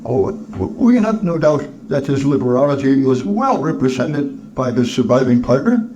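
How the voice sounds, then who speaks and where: A man voicing a polite, earnest gentleman charity collector in a storybook, formal, deferential and stammering. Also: medium pitch, very animated, normal speed, lo-fi sound, voice pushed up, natural voice. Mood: courteous.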